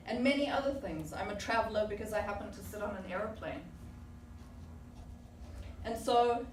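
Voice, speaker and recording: A woman in her forties from South Africa gives a prepared, reflective speech full of rhetorical questions to a club audience. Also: medium pitch. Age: 40s. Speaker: club speaker. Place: meeting room with audience. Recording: echoey room, distant mic, background noise.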